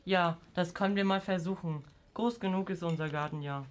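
A man's voice: high voice, fairly quick, close to an everyday voice. A young male amateur playing a practical, easygoing father in an audio drama. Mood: agreeable.

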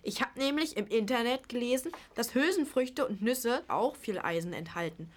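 A young female amateur playing an earnest, argumentative granddaughter in an audio drama. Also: on the high side.

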